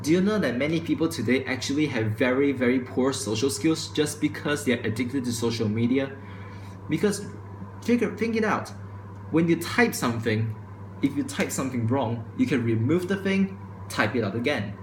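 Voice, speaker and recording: A man's voice: medium pitch, lively intonation, slight background noise. Stuttering young man in echoey room. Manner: stutters and restarts, says he speaks too quickly. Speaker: student vlogger. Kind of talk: casual opinion monologue to camera. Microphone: camera microphone. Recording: echoey room with faint ambience.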